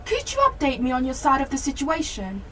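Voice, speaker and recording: A teenage woman playing a brisk, assertive defence lawyer in an amateur radio play. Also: high voice, huge pitch swings, pushed and bright, full character voice. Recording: distant mic, light background noise.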